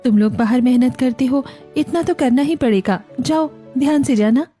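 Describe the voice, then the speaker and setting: A woman acts out a devoted young daughter-in-law from a cartoon family drama. Her voice is high and mellow, and she speaks with gentle respect and care, over clear background music. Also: normal speed, natural voice. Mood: affectionate, caring. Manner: reassuring, respectful. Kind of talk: Hindi cartoon family story acting.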